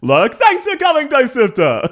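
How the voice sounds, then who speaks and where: A man's voice: very high voice, wildly swooping pitch, a little slow, fairly bright tone. A young Australian man chatting casually on a live stream, playful and easily sidetracked.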